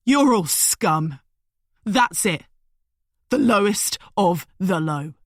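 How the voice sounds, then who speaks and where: A woman voicing a desperate captive young man who shouts raw, slow, bitter accusations. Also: medium pitch, animated, dry recording, clean studio sound. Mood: contemptuous.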